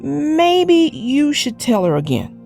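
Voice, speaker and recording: A firm young schoolgirl played by a woman in a children's storybook, pitched fairly high. She sounds exasperated and insistent, with lively rises in her plain-spoken lines. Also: takes it slowly, vocal fry, soft background music, full character voice.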